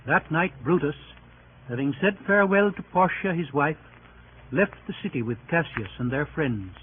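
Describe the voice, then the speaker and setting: A man with a fairly high voice delivers scripted, formal narration for a vintage radio play. His delivery is dramatic and declarative. The sound is narrow and tinny with noticeable noise behind it. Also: animated, moderate pace. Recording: tinny, noisy old radio recording.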